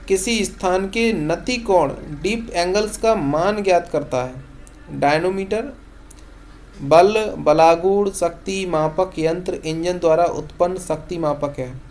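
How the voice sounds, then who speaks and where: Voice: medium pitch, darkish tone. Teacher running question-and-answer revision. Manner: instructional, poses each question then states the short answer. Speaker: exam-prep science teacher. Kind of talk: question-and-answer revision lesson read from notes.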